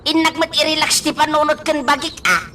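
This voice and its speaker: A woman acting an excitable, doting old father in a radio drama, exclaiming and drawling with chuckles. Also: sits high, a little fast, background noise, natural voice. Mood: exasperated, animated. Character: excitable, chuckling old father who dotes on his child.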